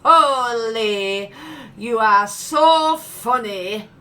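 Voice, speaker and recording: A woman playing a prim, proud mature businesswoman in an audio comedy, genteel, a little hesitant and quick to scold. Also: a little high, animated, sharp tone, heavily acted. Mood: defensive. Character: prim, proud mature businesswoman, easily embarrassed by her friend. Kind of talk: family comedy audio play acting.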